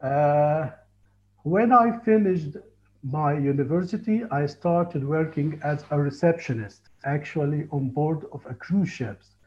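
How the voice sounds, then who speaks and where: A man in his forties from Egypt with an Egyptian accent, telling his life story in a halting, earnest way over a call. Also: on the high side. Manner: halting, earnest, frequent restarts. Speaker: tour guide and Egyptologist. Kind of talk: casual interview on a call. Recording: muffled lo-fi call audio.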